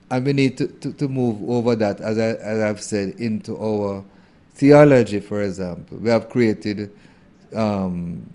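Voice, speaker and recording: A man, a theologian answering an interview question in a thoughtful, halting way with many fillers. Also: on the low side, gravelly, mellow. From Jamaica.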